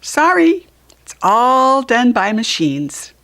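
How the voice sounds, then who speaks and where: Woman voicing high-pitched, apologetic storybook worker. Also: big pitch swings, takes it slowly, a bit bright, full character voice. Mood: apologetic, dismissive. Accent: American. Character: apologetic, brisk storybook workers turning away a helper.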